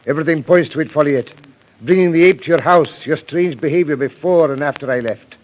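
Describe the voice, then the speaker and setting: An actor playing a firm, accusing psychiatrist with a measured, authoritative manner in an old-time radio thriller. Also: male voice, on the high side, lightly acted. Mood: stern, accusing. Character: firm, accusing male psychiatrist confronting his patient. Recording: noisy, clicking vintage radio recording.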